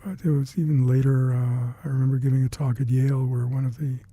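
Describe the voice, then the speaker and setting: An older man with Hungarian as his first language, recalling past work in an oral history interview, halting and reflective with many fillers and restarts. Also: mid-range voice, little pitch movement, mellow, husky, no room sound. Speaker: interviewee, retired scientist.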